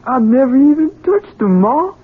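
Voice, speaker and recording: An actor playing a simple-minded, childlike grown son in an American radio drama, speaking in a halting, pleading rustic way. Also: male voice, very high-pitched, sing-song, slightly rough, full character voice. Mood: meek, apologetic. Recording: tinny band-limited old radio broadcast.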